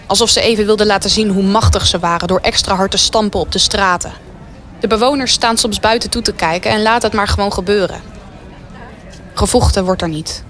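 A woman reading scripted dramatic narration for a radio play. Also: medium pitch. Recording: faint rain-like noise behind.

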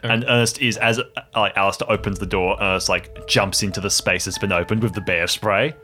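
A man with a fairly low voice plays in a tabletop roleplaying game. He casually improvises and describes what his character does, with faint music behind him. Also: fairly even intonation, brisk, pushed and bright.

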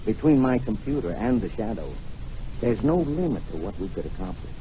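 A man's voice: fairly low pitch, sing-song, a little fast. A smug schemer of old radio drama. His insinuating, theatrical voice moves animatedly, on a dull recording with faint hiss. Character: smug scheming male villain bargaining for partnership.

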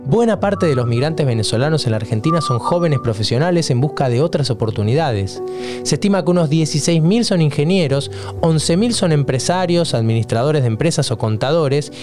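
Higher-voiced man narrating a podcast. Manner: even, informative delivery. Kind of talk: scripted narration. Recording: faint guitar music behind.

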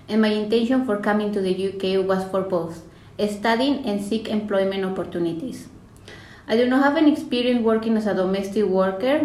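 A young woman with a Mexican accent delivers formal, prepared remarks as a student panelist. Her voice is medium in pitch. The recording has a strong room echo. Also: darkish tone. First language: Spanish. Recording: echoey room.

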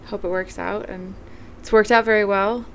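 A woman hosting a casual interview podcast, encouraging and agreeable, her voice going a bit hoarse. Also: mid-range voice, steady tone, moderate pace, slight background noise, poor audio. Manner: encouraging, lots of agreeing and soft laughs. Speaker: podcast host.

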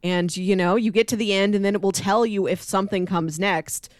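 A woman's voice: medium pitch, steady tone, brisk, no echo, studio quality. A woman in casual podcast discussion, conversational, slipping into acted-out quotes as she explains. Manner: conversational, acts out quoted lines.

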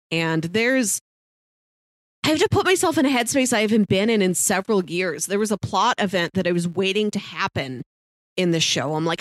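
A woman's voice: fairly low pitch, no echo, clean studio sound. A woman co-hosting a podcast, casually thinking aloud in a reflective, analytical way. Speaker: podcast co-host. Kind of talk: casual TV discussion.

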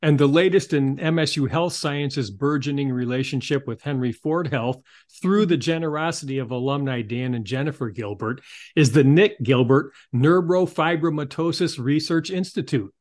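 A man's voice: fairly high pitch, normal speed, darkish tone. A man hosting an interview show, giving polished, supportive segues and prompts with a promotional touch.

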